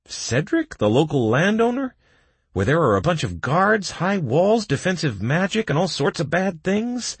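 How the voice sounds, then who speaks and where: A man's voice: a little high, very animated, slow, gravelly, breathy edge, dry recording, voice pushed up, heavily acted. A man voicing a fussy, hesitant healer in a fantasy game story. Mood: worried. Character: anxious, matter-of-fact male healer of a gaming party.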